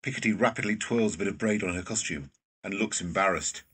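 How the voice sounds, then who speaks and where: Low, husky male narrator. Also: fairly quick, a bit bright, recorded from a distance. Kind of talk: scripted narration of stage directions.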